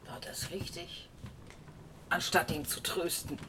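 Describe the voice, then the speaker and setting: A woman's voice: on the low side, fairly even intonation, slow, noisy background. An actress playing a stern, secretive older foster mother in a radio drama, grave and admonishing. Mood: probing.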